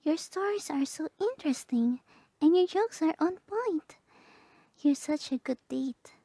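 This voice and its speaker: A woman voicing a sweet, excited young woman on a date, girlish, gushing and soft, in an ASMR roleplay. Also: sits high, unhurried, no echo, studio quality, voice pushed up.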